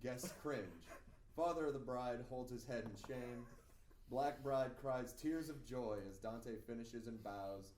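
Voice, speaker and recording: A man's voice: mid-range voice, unhurried. A man narrating scene directions at a screenplay table read. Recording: echoey room, distant, faint noise.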